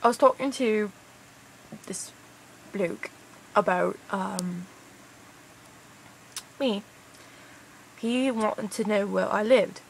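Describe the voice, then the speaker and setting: A young Canadian-raised woman talks in a put-on British accent with a heavily creaky voice. She rambles in a tired, hesitant way, full of crude slang. There is clear noise behind her. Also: medium pitch, drawn-out words, pauses often, rough recording. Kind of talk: casual rambling vlog.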